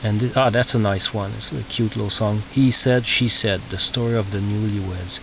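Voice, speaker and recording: A man who is not a native English speaker, casually talking through his collection as a record collector, halting and muttering as he reads out labels, on a cheap video camera microphone. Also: fairly low pitch, steady tone, vocal fry. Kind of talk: casual narrated show-and-tell of collection. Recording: muffled cheap video camera, loud noise.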